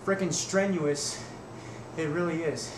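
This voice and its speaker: High-voiced young man, echoey home webcam. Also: fairly even intonation, measured pace. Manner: blunt, swears, strains and grunts through reps. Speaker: fitness vlogger. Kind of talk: casual workout vlog. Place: small room at home. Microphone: webcam at desk distance. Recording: echoey room, noisy webcam audio.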